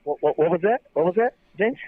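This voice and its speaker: A man's voice: high-pitched, animated, fast talker. A man calling in over a phone, talking casually and earnestly, with emphatic repeated phrases. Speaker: guest calling from prison. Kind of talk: casual, testimonial talk. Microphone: telephone handset. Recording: tinny prison phone line.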